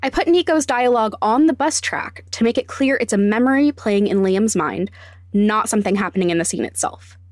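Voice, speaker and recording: A woman hosting a podcast, giving scripted instructional narration with deliberate, emphatic step-by-step phrasing. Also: medium pitch, no echo, clean studio sound. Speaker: podcast host, sound designer.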